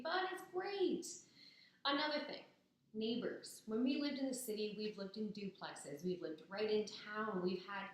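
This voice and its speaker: This is a young woman, her voice raspy and hoarse after a cold. She talks in a chatty, persuasive way, pitched right in the middle. The recording rings with heavy echo. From Ontario, Canada. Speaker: business student. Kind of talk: persuasive speech to camera. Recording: very echoey, roomy sound.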